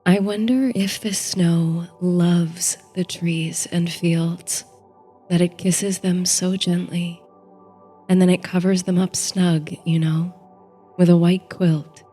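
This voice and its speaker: A woman with a warm, slightly low voice narrates a calming bedtime story in the manner of a guided meditation. She speaks very softly and unhurriedly, with breathy phrase endings. Quiet music plays behind her. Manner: slow, soothing, unhurried delivery. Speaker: host and narrator of a sleep story series. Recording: soft music under the voice. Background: soft music.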